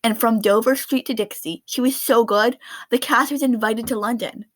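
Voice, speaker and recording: A woman reading a children's picture book aloud in an expressive storytelling style. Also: on the high side, normal speed, no echo. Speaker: read-aloud narrator of children's books.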